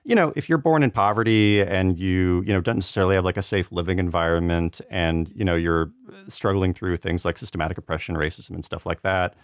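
Deep-voiced man in casual, rambling discussion. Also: brisk, few pauses, no room sound, clean studio sound. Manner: hedging, rambling, peppered with "like". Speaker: podcast panelist.

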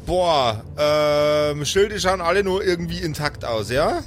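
A man's voice: on the high side, animated, takes it slowly, a little hiss or hum. A man playing a tabletop role-playing game, chatting casually in German with English gamer slang mixed in. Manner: mixes English gaming slang into his German. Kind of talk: casual improvised game chat. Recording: faint music behind the voice. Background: music.

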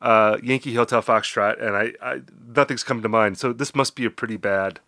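A man's voice: lowish voice, fairly even intonation, fairly quick, pushed and bright, dry recording, clean studio sound. Congested man hosting a chatty podcast. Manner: rambling, self-deprecating. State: congested, getting over an illness. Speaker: podcast host. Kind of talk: casual chat.

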